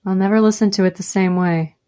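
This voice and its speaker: A woman's voice: medium pitch, fairly even intonation, fast, dark tone, natural voice. An actress playing a nervous, self-mocking young woman who feels queasy, in a radio play. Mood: anxious. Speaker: actor.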